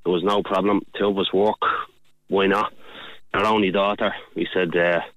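A man's voice: sits low, level tone, moderate pace, fairly bright tone, dry recording, rough recording. Irish guy calling in to radio, middle aged, laid back, keeps saying you know what i mean, dry sound. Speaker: caller.

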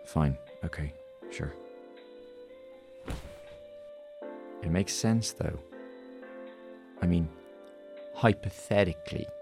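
An actor playing a naive, self-doubting condemned criminal in a naturalistic radio drama, hesitant, rambling and earnest. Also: male voice, deep voice. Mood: musing, curious.

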